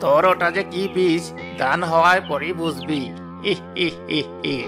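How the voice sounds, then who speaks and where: A man voicing a greedy, sly, two-faced village farmer in an animated moral story. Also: fairly high pitch, measured pace, over music. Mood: gloating, scheming. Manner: falsely reassuring, gloating.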